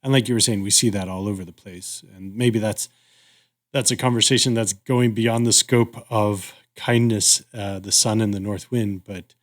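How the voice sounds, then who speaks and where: Low-pitched, measured male podcast host. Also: steady tone. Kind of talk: reflective discussion.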